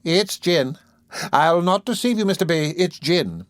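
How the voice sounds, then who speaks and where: Picture a gushing, wheedling nursemaid, as a male narrator puts her on, all fawning humility and sly sweetness. Her voice rides well above the reader's own, drawn out and syrupy, and a little louder when she flatters. It is a storybook voice, heard close and dry. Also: high-pitched, unhurried, dry recording, voice pushed up. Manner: gushing, wheedling, overly humble. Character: fawning, scheming middle-aged woman running a workhouse nursery.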